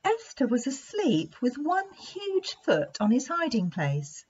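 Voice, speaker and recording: A woman reading a children's story aloud in an expressive, deliberate storytelling manner. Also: high voice, husky. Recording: dull lo-fi sound.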